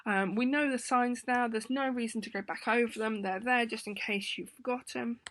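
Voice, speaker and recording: A woman in her thirties with an English accent, hoarse from a sore throat, gently teaching young children over a webcam with many ums. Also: fairly high pitch, fairly even intonation, moderate pace, no room sound. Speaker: primary school teacher. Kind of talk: remote lesson to children. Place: home room indoors. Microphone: laptop webcam.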